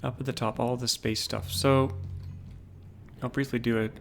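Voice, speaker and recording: A man giving casual, unhurried gameplay commentary on a livestream, in a calm, low-key voice, thinking aloud as he goes. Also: gravelly, faint music behind.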